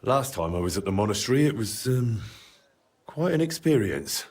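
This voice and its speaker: A man's voice: on the low side, very animated, moderate pace, a little mellow. An actor playing a dutiful, dry young medieval man-at-arms in a video game, direct and courteous. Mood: puzzled, dry. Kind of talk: video game cutscene acting.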